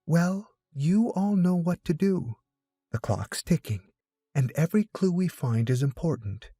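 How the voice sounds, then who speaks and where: A man voicing a bossy, sharp-tongued teenage girl detective with a businesslike manner in an audiobook. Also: fairly high pitch, animated, a little slow, breathy edge, no room sound, studio quality, voice pushed up. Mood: brisk, commanding. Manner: commanding, businesslike. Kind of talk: audiobook character dialogue.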